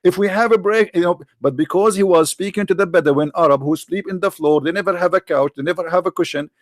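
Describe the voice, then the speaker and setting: A man's voice: high-pitched, fast talker, no room sound. A man hosting an argumentative debate, challenging and mocking his caller with repeated questions. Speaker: debate host.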